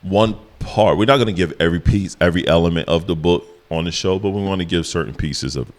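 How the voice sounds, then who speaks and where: A man co-hosting a podcast, with a deep voice that carries, talking in a relaxed, rambling way with lots of fillers. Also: fast talker. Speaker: podcast co-host. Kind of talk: casual chat.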